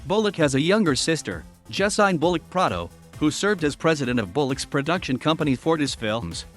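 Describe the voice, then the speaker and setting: A man reading a scripted biography narration in an even, flat manner. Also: fairly high pitch, fairly quick. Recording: faint music underneath.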